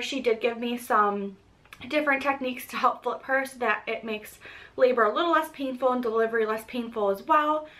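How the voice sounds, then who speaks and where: A woman's voice: fairly high pitch, pushed and bright. A young pregnant woman giving a casual vlog update, congested and a little short of breath, chatty with frequent ums. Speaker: pregnancy vlogger.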